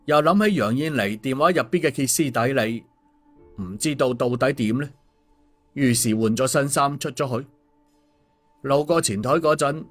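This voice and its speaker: A man narrating a scripted story, as an audiobook reader. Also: mid-range voice. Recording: music under the voice. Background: background music.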